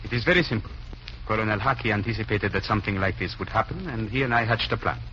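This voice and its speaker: An actor playing a composed Turkish secret agent, polite, deliberate and formal in a vintage radio drama. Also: male voice, fairly low pitch, pushed and bright. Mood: confiding, assured. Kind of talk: 1940s radio drama acting. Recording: muffled, noisy old radio recording.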